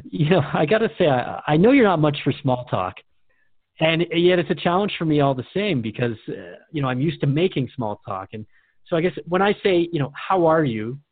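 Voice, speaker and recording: Higher-voiced man hosting radio, phone-like sound. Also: lively intonation, a little fast, dry recording. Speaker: radio host. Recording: dry, phone-like narrow band.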